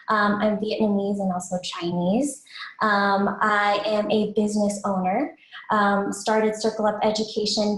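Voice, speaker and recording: A woman with a soft voice presenting a webinar to parents in an informal, explanatory way, posing questions as she goes. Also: mid-range voice. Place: indoors, online webinar. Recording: roomy, echoey webinar audio.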